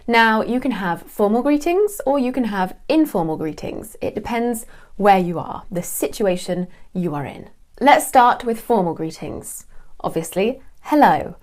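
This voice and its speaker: Young English woman, British accent, clear deliberate teacher voice, friendly online lesson read. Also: mid-range voice, lively intonation, darkish tone. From England. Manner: clear, deliberate teaching delivery with light laughs.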